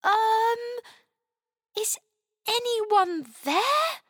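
A woman voicing a curious, excitable little girl in a children's story, exclaiming and asking wide-eyed questions. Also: very high-pitched, slow pace, no echo, clean studio sound, pitched above the performer's own voice, full character voice. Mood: hesitant.

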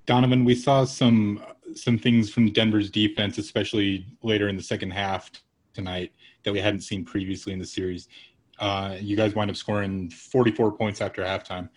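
A man's voice: lowish voice, level tone, normal speed. A man, a sports reporter asking a question at a press conference.

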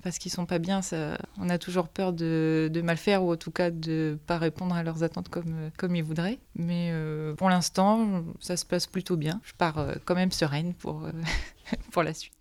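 A woman in her late twenties from Normandy, answering interview questions as a trainee doctor in a casual, hesitant way with pauses. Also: on the low side, level tone, darkish tone. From Normandy, France. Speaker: general practice trainee doctor being interviewed.

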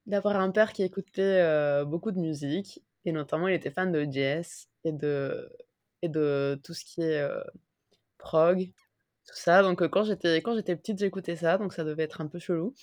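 A woman's voice: fairly low pitch, moderate pace, darkish tone, no room sound. A woman, a guest on a podcast, talking casually with many hesitations and restarts. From Mexico. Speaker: guest, concert organizer.

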